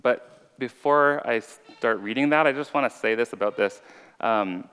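A man's voice: medium pitch, unhurried, fairly bright tone, clean tone, soft background music. A man giving an earnest, conversational sermon as an informal preacher with a slight stutter. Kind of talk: conversational sermon with personal testimony. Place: large reverberant room. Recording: reverberant hall.

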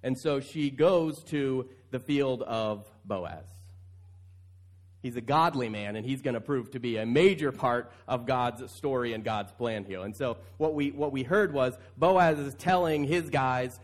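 A man's voice: medium pitch, measured pace. A male pastor preaching in a conversational style, stretching key phrases for emphasis. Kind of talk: conversational sermon.

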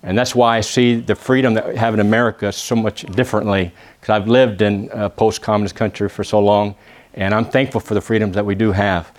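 Texan man preaching, halting with uh pauses, builds to emphatic calls, asks for amen. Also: on the low side, steady tone. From Texas. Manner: peppers phrases with "amen?" asking the congregation to answer; halting, with many "uh" pauses and restarts. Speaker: visiting preacher. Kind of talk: church sermon with prayer.

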